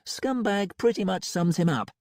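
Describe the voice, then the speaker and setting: A woman's voice: medium pitch, animated, moderate pace, darkish tone, no echo, studio quality, pitched above the performer's own voice, natural voice. A man reading an audiobook, voicing a witty, breezy woman with a wry way of talking.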